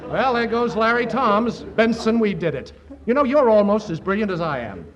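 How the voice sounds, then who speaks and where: Brash high-pitched scheming wisecracker. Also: male voice, expressive, normal speed, crowd noise. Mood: smug. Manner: smug, mock-innocent. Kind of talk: 1940s radio comedy acting. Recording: dull vintage 1940s radio, audience behind.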